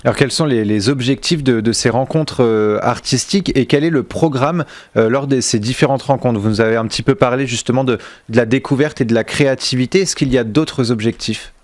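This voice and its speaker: A Frenchman hosts a radio interview in a mid-pitched voice, recorded very dry with no room sound. He builds his questions haltingly, often repeating a word before moving on. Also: no echo. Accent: French from France. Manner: halting, repeats words while forming questions.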